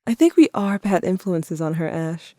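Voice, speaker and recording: A woman's voice: lowish voice, lively intonation, moderate pace, warm tone, no room sound, studio quality, lightly acted. A woman playing a tender, wry, grieving teenager who narrates an audio drama in a reflective, confiding way. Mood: fond. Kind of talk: intimate first-person audio drama narration.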